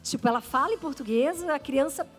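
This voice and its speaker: Uma apresentadora brasileira conduzindo o programa, entusiasmada e falante. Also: female voice, high-pitched, a little fast, soft background music. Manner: enthusiastic, chatty interjections. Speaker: host. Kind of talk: talk show hosting.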